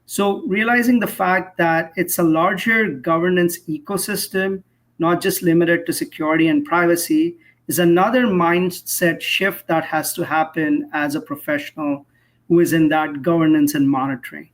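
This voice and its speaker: A man speaking as an expert interview guest, explaining in an articulate, measured way and posing rhetorical questions. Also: fairly high pitch, fairly even intonation. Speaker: cybersecurity professional, interview guest.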